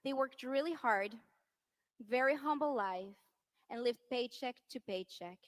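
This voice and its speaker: A woman in her thirties from Venezuela, giving an impassioned political rally speech that rises to rousing shouts. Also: high-pitched, unhurried. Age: 30s. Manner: impassioned, rising to rousing shouts on key lines. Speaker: politician's wife speaking at a rally.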